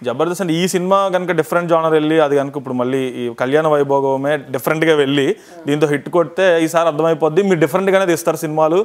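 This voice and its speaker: A man's voice: a little high, racing. A talkative, encouraging man with a Telugu accent hosting a casual interview, switching between two languages. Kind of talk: casual promotional film interview, mixing Telugu and English.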